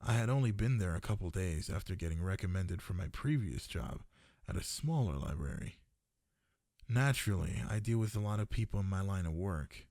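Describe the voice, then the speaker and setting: Man acting a low-voiced, tender dragon-blooded librarian boyfriend. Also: expressive, fairly quick, a little mellow, no room sound, studio quality. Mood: fond, reminiscing. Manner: intimate, tender, addressing one listener with endearments. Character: smitten, possessive male part-dragon librarian, gentle and teasing. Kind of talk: ASMR boyfriend roleplay, scripted.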